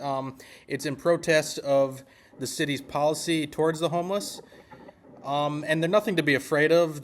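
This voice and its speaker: A man playing an earnest, mild-mannered association representative on a phone call, speaking politely and hesitantly with a deadpan delivery. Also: mid-range voice, natural voice. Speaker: prank caller posing as an activist group representative. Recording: noisy phone-call recording.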